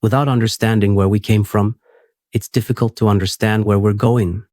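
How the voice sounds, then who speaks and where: A man reading the lines of a woman historian guest in a steady, even voice. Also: lowish voice, moderate pace, no room sound, studio quality, close to an everyday voice. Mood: earnest.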